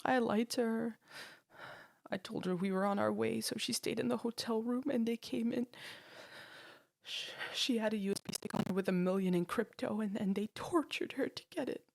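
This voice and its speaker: A woman's voice: on the high side, normal speed, gravelly, no echo, pitched above the performer's own voice. A woman voicing a distraught, guilt-ridden young woman in an audio story, breathless and crying. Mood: tearful panic.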